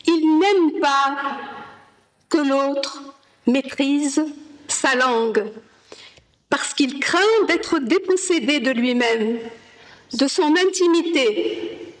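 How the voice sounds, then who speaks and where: A woman presenting an academic paper at a conference, reading in a slow, measured way with careful pauses. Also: high-pitched, rough recording. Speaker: conference speaker. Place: conference hall.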